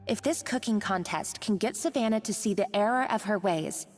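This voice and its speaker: A woman's voice: medium pitch, moderate pace, close to an everyday voice. A woman playing a nervous but determined young cook who talks hesitantly. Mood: earnest. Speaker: professional chef. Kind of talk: video game dialogue. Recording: reverberant game audio with faint music.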